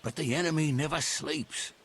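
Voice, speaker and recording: A husky, worried medieval soldier. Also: male voice, a little high, normal speed. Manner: urgent, deferential. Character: worried, earnest medieval garrison soldier, deferential. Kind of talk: video game cutscene acting.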